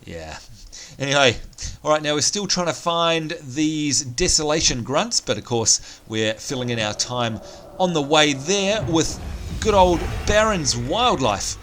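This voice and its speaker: A man's voice: a little high, animated, measured pace, fairly bright tone, slight background noise. A man with a strained, nearly lost voice, chatting upbeat through gameplay commentary as he plays. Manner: chatty, upbeat, thinking aloud. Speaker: let's play host. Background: game sounds and music.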